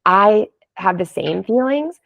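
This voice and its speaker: A woman's voice: mid-range voice, expressive, slow pace, vocal fry, no echo. A woman speaking casually and enthusiastically as an interview guest on a podcast. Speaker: podcast guest, wellness app founder. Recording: slightly dull lo-fi audio.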